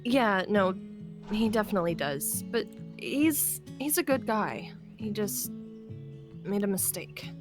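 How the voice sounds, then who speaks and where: A woman playing a hesitant, hopeful young apocalypse survivor in an improvised game roleplay, speaking haltingly and trailing off. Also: medium pitch, lively intonation, over music. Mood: hopeful, tentative.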